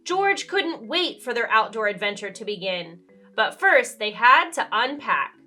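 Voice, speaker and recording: Animated high-voiced woman reading a storybook. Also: unhurried, faint music behind. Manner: dramatic emphasis, asks the listener questions. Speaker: children's read-aloud storyteller. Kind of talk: expressive storybook narration for young children.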